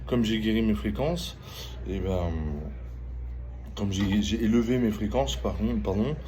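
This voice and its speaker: A middle-aged man chatting casually as he gives a tarot reading, halting and repeating phrases, often checking in with the listener. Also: lowish voice, mellow. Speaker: tarot reader. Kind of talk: casual spoken tarot reading with personal chat. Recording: clearly noisy ambient background.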